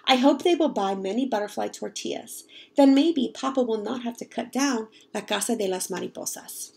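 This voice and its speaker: Storybook role: eager, hopeful little girl, expressive, higher-pitched read by a woman. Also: moderate pace, creaky. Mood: hopeful, wistful. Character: excited, hopeful young girl narrating a picture-book story.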